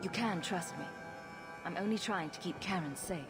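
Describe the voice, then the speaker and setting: A woman's voice: on the low side, normal speed, close to an everyday voice. An actress playing a confident, sardonic female adventurer in a video game, dry and playful, with music behind. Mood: earnest. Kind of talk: video game dialogue acting.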